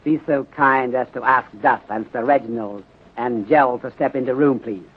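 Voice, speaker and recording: Through a narrow, muffled 1930s radio recording comes a courteous Chinese detective played by a man. He reasons calmly in formal, clipped sentences, pausing with care. The voice is middling in pitch and slightly rough. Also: natural voice. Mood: polite, assured. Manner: formal clipped phrasing with dropped articles, deliberate pauses. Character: courteous, methodical Chinese male detective inspector, calm and deliberate. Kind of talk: 1930s radio drama acting.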